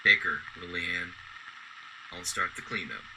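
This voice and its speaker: Man playing a deep-voiced gentle old veteran. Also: a little slow, slight background noise, heavily acted, rough recording. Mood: kindly. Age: older. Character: gentle old widowed war veteran, kindly and melancholy. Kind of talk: old-time radio drama acting.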